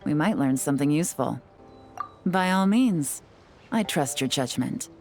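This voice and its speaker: A woman voicing a poised, sly female spy who talks with smooth, teasing politeness in a video game. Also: deep voice, expressive, a little slow, darkish tone, faint music behind.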